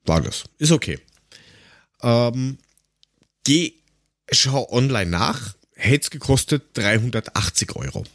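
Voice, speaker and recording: A man's voice: mid-range voice, sing-song, slow pace, long pauses, dry recording. Austrian man chatting casually, close dry sound. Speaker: podcast co-host. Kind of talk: casual chat with anecdotes.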